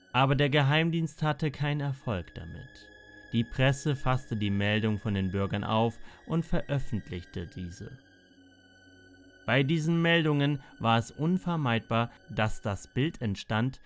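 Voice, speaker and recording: A man reading scripted documentary narration as a narrator. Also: on the low side. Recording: clearly noisy background.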